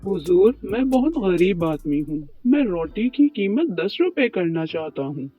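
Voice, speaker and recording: Man voicing a high-pitched poor tandoor baker. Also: very animated, measured pace, husky, dry recording, exaggerated voice. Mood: humble, pleading. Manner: pleading, deferential, talks to himself. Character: poor, worried, humble male tandoor bread baker. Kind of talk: Urdu moral cartoon story acting. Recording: muffled lo-fi track with music behind.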